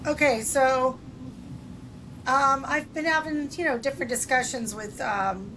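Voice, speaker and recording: An older woman co-hosting a podcast, chatting casually in a blunt, salty, informal way. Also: measured pace. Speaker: podcast co-host. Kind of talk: casual chat. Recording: clear background noise, low rumble.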